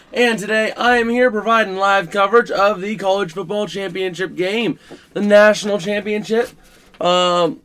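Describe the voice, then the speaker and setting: A man hosting a casual live stream about a college football game, his voice scratchy and rough, chatting to viewers with plenty of fillers. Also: sits high, a bit bright. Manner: chatty, filler-heavy, talks to chat while working the stream.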